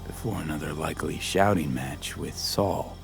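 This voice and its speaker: An actor playing a calm, devoted male mercenary in a video game, gravelly, terse and reassuring. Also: extremely low pitch, moderate pace. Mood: low-key, attentive. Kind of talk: intimate video game acting. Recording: music behind the voice.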